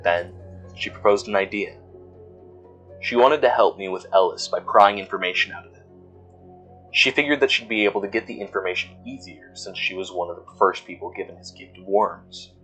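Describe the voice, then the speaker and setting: A man narrates a scripted horror audio log in a low, bright-edged voice. His delivery is measured and story-like, with music playing underneath. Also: rough recording. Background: background music.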